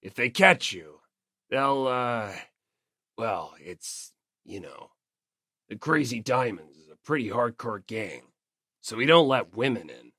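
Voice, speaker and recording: A performer voicing a brash, gruff teenage male biker gang leader in an audiobook, blunt, proud and slangy. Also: medium pitch, very animated, slow pace, long pauses, sharp tone, no echo, studio quality, voice pushed down, full character voice. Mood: awkward. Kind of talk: audiobook character acting.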